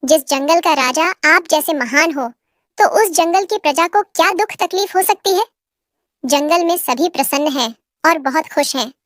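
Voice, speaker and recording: Woman as high-voiced flattering fox lady. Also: normal speed, no echo, clean studio sound. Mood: placating. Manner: eager, reassuring. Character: deferential, flattering female fox serving the lion king. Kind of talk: children's animal moral-story cartoon.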